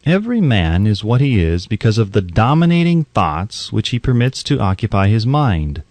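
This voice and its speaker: Male narrator for self-help audiobook, animated intonation, deliberate emphasis, dry studio-style sound. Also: on the low side, no echo.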